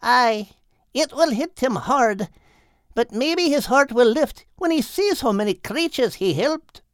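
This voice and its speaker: A storybook male seagull, pitched well above an ordinary man's voice. He is folksy and reassuring, an affectionate husband, with a very animated melody. The sound is dry and close. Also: unhurried, no room sound, exaggerated voice. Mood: solemn.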